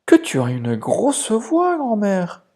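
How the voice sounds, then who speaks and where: A storyteller voicing an innocent, trusting little fairy-tale girl with a small, soft voice. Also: medium pitch, wildly swooping pitch, takes it slowly, pitched above the performer's own voice, exaggerated voice. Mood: wondering. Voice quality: tiny, soft voice.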